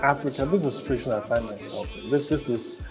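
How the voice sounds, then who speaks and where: A man from Ghana, a musician and actor giving relaxed answers as an interview guest. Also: mid-range voice, quick pace, music in the background.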